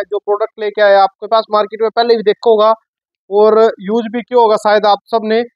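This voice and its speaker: A man presents products in a casual shop-video style. He explains informally, often repeating his phrases. The sound is slightly muffled and lo-fi, with little room echo. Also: very high voice. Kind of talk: casual product unboxing and sales pitch. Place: shop office with sofa, indoors.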